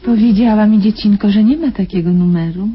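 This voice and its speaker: A woman's voice: mid-range voice, brisk, faint music behind, close to an everyday voice. An actress playing a condescending, superstitious private nurse in a radio drama, coaxing her patient with patronizing endearments. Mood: patronizing, stern. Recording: dull, noisy old radio drama recording.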